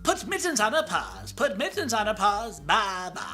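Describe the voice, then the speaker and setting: A man's voice: high-pitched, huge pitch swings, moderate pace, sharp tone, faint music behind, pitched above the performer's own voice, full character voice. A man voicing a helpful, confident, bleating female sheep in a children's storybook. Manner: bleating, repeats phrases.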